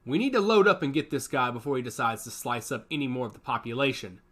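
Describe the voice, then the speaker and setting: A man's voice: medium pitch, fast, a bit bright, lightly acted. A man voicing a brash, cocky augmented fighter who talks with swagger and defiance. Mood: brisk. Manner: confident, defiant.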